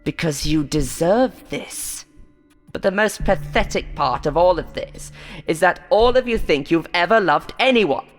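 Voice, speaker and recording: A woman's voice: on the low side, animated, unhurried, in a large hall, faint music behind. A woman voicing a sinister, inhuman game show host in a horror story, taunting and condescending with theatrical showman patter. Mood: mocking, scornful. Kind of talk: horror audio story character voice.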